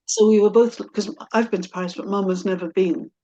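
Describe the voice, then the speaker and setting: A middle-aged woman in a casual, personal conversation. She speaks at a medium pitch and thinks aloud, feeling her way through her sentences with some hesitation. Her manner is thoughtful and self-reflective. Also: fairly even intonation, fairly quick, warm tone, dull audio.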